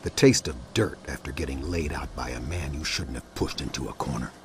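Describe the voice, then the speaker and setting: Very deep-voiced American gunslinger, vengeful. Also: male voice, a little fast, background noise. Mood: grim, vengeful. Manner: grim, dramatic, folksy. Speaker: narrator and protagonist. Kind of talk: hard-boiled scripted game narration.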